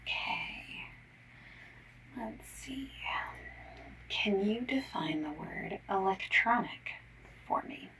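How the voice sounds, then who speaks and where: A woman doing an ASMR survey-caller roleplay, gently and politely asking questions in breathy soft speech and whispers. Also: mid-range voice, huge pitch swings, slow, pauses often. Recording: lo-fi, noisy, slightly distant mic. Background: mechanical keyboard typing.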